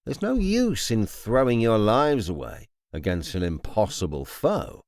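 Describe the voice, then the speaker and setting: Male actor as necromancer lord, highly varied intonation, imperious, composed, formal, quietly menacing, dry close sound, fantasy audiobook. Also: very animated, no echo. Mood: calm, commanding. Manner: formal, measured, politely menacing. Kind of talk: audiobook character acting.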